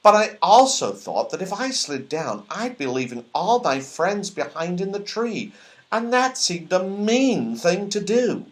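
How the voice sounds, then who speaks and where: A man's voice: high-pitched, very animated, a little slow, pitched above the performer's own voice. A man voicing a kindly, worried magical tree-dwelling fellow in a children's storybook. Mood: worried, hushed.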